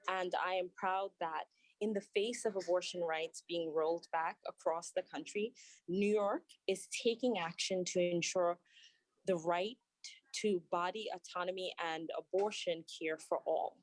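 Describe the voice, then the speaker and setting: A young woman doctor reads earnest prepared testimony at a hearing, her voice low and steady. She stumbles and restarts now and then. The sound is dry and close, with no room echo. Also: moderate pace. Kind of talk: prepared testimony, read aloud. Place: at work, remote testimony.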